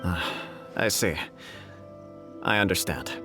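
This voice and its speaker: A man's voice: sits low, takes it slowly. A man voicing a dignified, diplomatic samurai official in an anime-style video game, with a formal, stately and polite delivery. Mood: conciliatory. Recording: game music playing behind.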